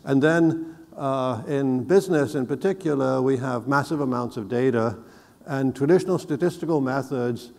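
A middle-aged man giving a conference keynote on a technical subject, explaining in a measured, deliberate way. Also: mid-range voice, a little mellow. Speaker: keynote speaker. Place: large indoor conference hall, on stage. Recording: reverberant hall sound.

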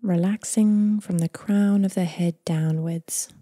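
Female meditation guide, breathy near-whisper, calm unhurried delivery, very dry close recording. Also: lowish voice, no echo. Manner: gentle, unhurried, calming delivery with long phrases trailing off. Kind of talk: guided body scan meditation, slow and soothing.

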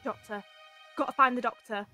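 A woman's voice: on the high side, fairly even intonation, brisk, sharp tone, soft background music. A woman acting a feisty, sarcastic travelling companion in an audio drama, indignant and easily exasperated. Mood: wry, irritated. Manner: indignant, sardonic. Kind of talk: full-cast audio drama.

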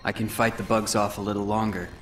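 An actor playing a solemn, self-sacrificing teenage boy hero in a video game, speaking gravely and in measured, earnest phrases. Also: on the low side, flat delivery, a bit bright, faint music behind, natural voice. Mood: grave warning. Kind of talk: video game cutscene voice acting.

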